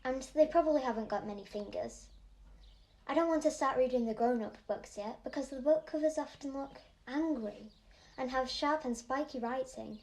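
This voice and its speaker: A woman's voice: high voice, moderate pace, darkish tone. A woman playing a bookish, anxious eight-year-old Yorkshire girl in an audio drama monologue, speaking earnestly and precisely. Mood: earnest, curious. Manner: earnest, precise, matter-of-fact.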